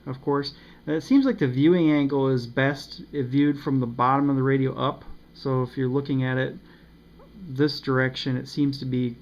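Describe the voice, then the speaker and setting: Hesitant gravelly man reviewing a radio. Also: mid-range voice. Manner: hesitant, many ums and uhs. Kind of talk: casual product review. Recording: slightly dull with faint noise.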